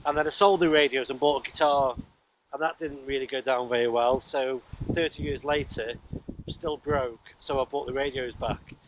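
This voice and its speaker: A man's voice: mid-range voice, steady tone, moderate pace. A middle-aged man talking casually and a little ramblingly in an informal vlog, recorded on location with some wind noise. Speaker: amateur radio vlogger. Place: windy high ground, in and around a parked car. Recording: windy outdoor noise, clicks.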